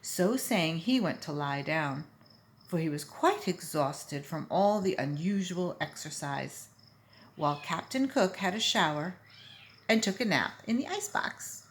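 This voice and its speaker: Woman, fairly low voice, very lively intonation, expressive children's storybook narration. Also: unhurried, a little hiss or hum. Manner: animated, emphatic storytelling. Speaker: volunteer story reader.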